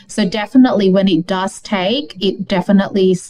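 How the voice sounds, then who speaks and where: Chatty woman from Indonesia, mid-pitched. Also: fairly quick, darkish tone. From Jakarta, Indonesia. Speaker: economist guest. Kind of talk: casual interview chat.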